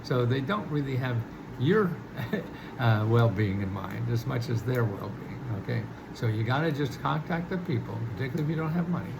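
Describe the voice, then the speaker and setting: Gravelly older man, chatty and amused. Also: mid-range voice, lively intonation, moderate pace, noisy background. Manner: chatty, chuckles at own jokes, many restarts. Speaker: host giving money advice. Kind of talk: casual direct-to-camera talk.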